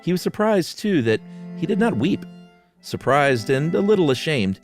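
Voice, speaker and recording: A man's voice: fairly high pitch, sing-song, normal speed. A man co-hosting a podcast, reading a horror short story aloud with casual asides, with music behind him. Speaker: podcast co-host.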